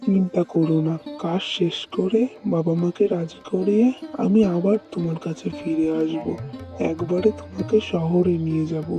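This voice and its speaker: A woman's voice: fairly low pitch, steady tone, moderate pace, far from the mic, over music, close to an everyday voice. A woman voicing an earnest, devoted young man in love in a melodramatic, soothing cartoon manner. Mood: reassuring.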